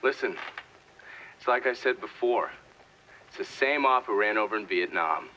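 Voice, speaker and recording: An actor playing a brash, bitter American intelligence veteran in a video game, testifying with profane defiance and emphatic storytelling. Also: male voice, fairly low pitch, normal speed, sharp tone, slight background noise, poor audio. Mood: cocky, matter-of-fact. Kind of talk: dramatic video game cutscene acting.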